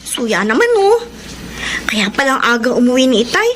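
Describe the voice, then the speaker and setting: A woman's voice: on the high side, very animated, moderate pace, a bit bright, slight background noise, poor audio. A woman acting a whiny schoolgirl daughter in a radio drama, with a Filipino accent. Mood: complaining.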